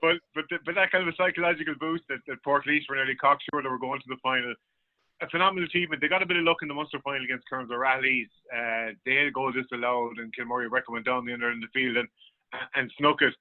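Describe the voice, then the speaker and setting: A man's voice: medium pitch, fairly even intonation, fast. A man with a County Clare Irish accent, speaking conversationally and colloquially as a sports journalist in a radio discussion. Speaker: sports journalist, panel guest. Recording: phone-like, narrow-band sound.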